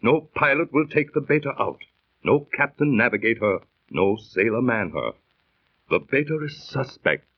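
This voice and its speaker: Earnest, lively seafaring storyteller. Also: male voice, mid-range voice. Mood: grave, insistent. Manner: formal, dramatic, deliberate diction. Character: earnest, scientific-minded seafaring storyteller, Mister Morton. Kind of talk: 1930s radio acting. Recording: muffled 1930s radio recording.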